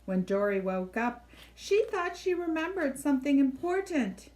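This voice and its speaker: A woman with a fairly high voice narrates a children's storybook. She reads expressively and shapes her phrases deliberately, as in a library read-aloud. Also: very animated, a little mellow.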